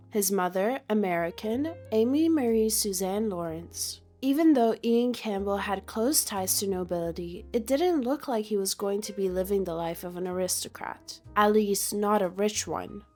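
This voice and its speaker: A woman's voice: mid-range voice, darkish tone. A woman giving scripted documentary narration with wry, punning asides. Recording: faint music underneath.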